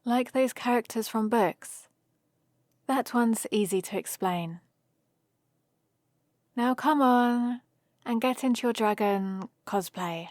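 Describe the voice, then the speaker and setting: A woman's voice: on the high side, slow, pauses often, no echo, studio quality. A woman playing a playful, teasing young heroine adventurer who chats and rambles fondly in a one-sided ASMR roleplay. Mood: amused, reassuring. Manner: chatty, rambling, gently teasing.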